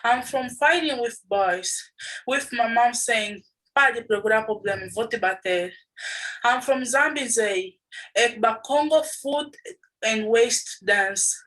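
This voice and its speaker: A woman's voice: on the high side, slow, a bit bright. A woman reading her own poem aloud in an earnest recitation. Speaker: student poet. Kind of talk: poetry reading. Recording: distant mic.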